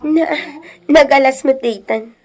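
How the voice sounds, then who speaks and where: Nosy woman, high voice, inquisitive, gossipy, radio drama. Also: a little slow, darkish tone.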